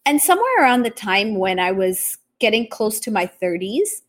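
A woman's voice: medium pitch, very animated, moderate pace. A woman from Japan hosting a podcast in a conversational interviewing style. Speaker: podcast host.

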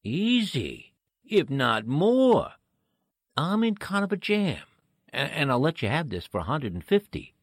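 An actor playing a shifty, pushy street peddler with slangy, wheedling talk in an old-time radio drama. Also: male voice, on the high side, huge pitch swings, measured pace, dry recording, studio quality, heavily acted. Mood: sly, coaxing. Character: shifty male street peddler hawking cheap fur coats.

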